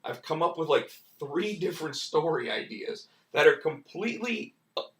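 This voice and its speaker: Man, hoarse, rambling casual host, mid pitch, roomy and off-mic. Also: expressive, moderate pace, a bit bright, far from the mic. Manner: rambling, many restarts and "like" fillers. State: losing his voice, hoarse. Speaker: comic book writer and stream host. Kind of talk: casual live-stream chat.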